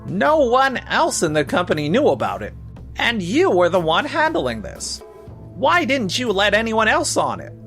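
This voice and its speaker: A man's voice: high-pitched, huge pitch swings, normal speed, a bit bright, soft background music, full character voice. A man playing a stern, exacting corporate manager in a scripted manga dub, probing and reproachful.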